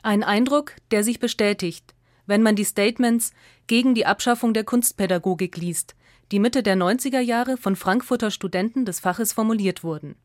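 A woman's voice: medium pitch, normal speed, no echo. Woman reading a radio essay, dry studio sound. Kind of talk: scripted radio essay narration.

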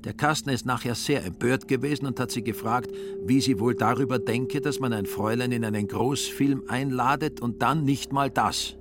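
A man's voice: mid-range voice, normal speed, hardly any pauses. A man narrating a literary radio play in a dry, ironic storytelling manner. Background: music.

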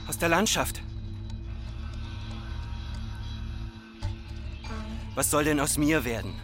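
Actor as anxious farmer's son, Austrian accent. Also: male voice, mid-range voice, natural voice. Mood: pleading, reproachful. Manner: deliberate, repetitive, dramatic storytelling. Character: anxious grown son of an obsessive farmer father. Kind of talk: literary radio drama monologue and dialogue.

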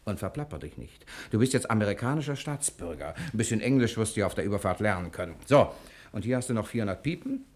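An actor playing a genial, quick-witted fugitive rogue in a radio comedy drama, jaunty, chatty and bossy. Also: male voice, fairly low pitch, sing-song, darkish tone. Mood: brisk, bossy.

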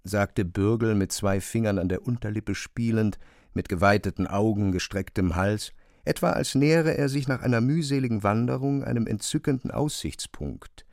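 A male narrator reads scripted literary prose for a radio drama. His pitch sits somewhat low, and the recording is dry, with no room around the voice. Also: normal speed, darkish tone, no room sound.

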